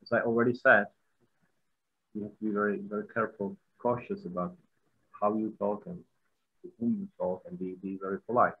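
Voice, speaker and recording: A man's voice: lowish voice, flat delivery, brisk, long pauses, slightly rough. Czech-accented man on a muffled video call. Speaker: guest musician. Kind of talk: webinar video call conversation. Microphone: video call laptop mic. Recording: dull lo-fi video call audio.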